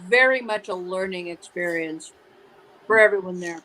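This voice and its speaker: A woman's voice: lowish voice, moderate pace, pushed and bright, poor audio. A woman chatting casually as a panelist on a livestream. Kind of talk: casual chat.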